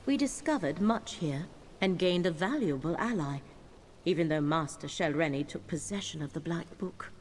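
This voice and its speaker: A woman voicing a solemn, cryptic emissary in a fantasy video game, speaking in a formal, measured and deliberate way. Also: lowish voice, lively intonation, darkish tone, a little hiss or hum, lightly acted. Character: solemn, cryptic female emissary of a lore-keeping power.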